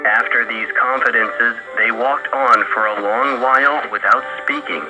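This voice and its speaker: A man narrating a scripted literary tale in a measured storytelling manner for a radio broadcast. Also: medium pitch, fairly even intonation, rough recording. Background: loud music.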